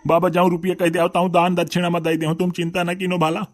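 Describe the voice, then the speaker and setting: Man playing a high, even-toned cartoon villager. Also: a little fast, lightly acted. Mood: deferential, eager. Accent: Bundelkhandi. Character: deferential male villager in a comedy cartoon. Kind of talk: rustic comedy cartoon dialogue.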